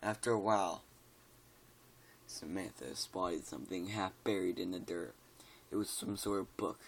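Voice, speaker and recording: A man's voice: low voice, normal speed, gravelly. A tired man casually reads a scary story aloud, stumbling over words and joking about them.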